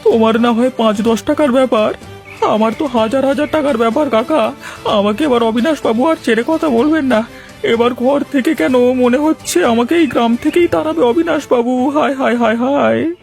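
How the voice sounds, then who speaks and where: A man voicing a timid, poor village errand man in a cartoon, creaky and plaintive as he pleads and laments. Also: very high-pitched, normal speed, darkish tone, soft background music. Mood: panicked, woeful. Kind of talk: cartoon voice acting.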